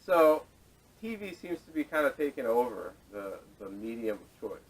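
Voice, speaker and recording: A man hosting a casual podcast discussion, speaking haltingly with repeated words, picked up by a distant camera microphone. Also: medium pitch, very animated, husky. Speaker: podcast host. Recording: distant, salvaged camera audio.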